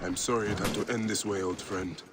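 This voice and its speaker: A man's voice: lowish voice, fairly even intonation, a little fast, natural voice. A man acting a stern but compassionate Egyptian warrior hero in a video game, earnest and grave. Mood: regretful. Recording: music over the voice.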